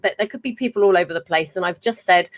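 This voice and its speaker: A woman's voice: on the low side, quick pace. Chatty woman hosting a live podcast. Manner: chatty, swearing, self-mocking. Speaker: podcast host. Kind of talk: casual live-stream interview. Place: home room with a fan humming. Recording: fan hum in the background.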